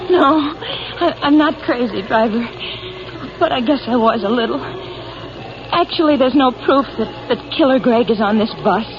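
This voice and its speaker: An actress playing an overwrought, fragile married woman passenger in an old-time radio drama, halting and melodramatic. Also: fairly high pitch, background noise, close to an everyday voice. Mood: shaken, apologetic. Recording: muffled old radio broadcast with music.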